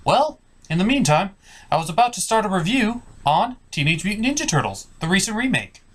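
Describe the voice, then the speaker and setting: A man voicing a flustered, exasperated puppet host of a cartoon review show, halting and apologetic in a scripted comedy skit. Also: high voice, very animated, a bit bright, heavily acted. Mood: presenting.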